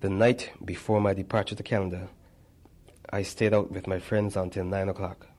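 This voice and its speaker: A man reads a personal memoir aloud in a measured way. His voice sits low and stays flat in tone, and he stumbles and restarts now and then. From Barbados. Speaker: narrator.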